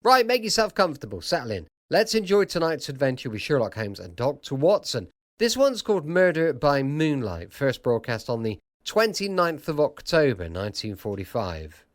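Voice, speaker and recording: A man's voice: medium pitch, big pitch swings, normal speed, hardly any pauses. A man introducing a podcast, speaking to listeners in a chatty, personal, grateful way. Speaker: podcast host. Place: small home-style studio.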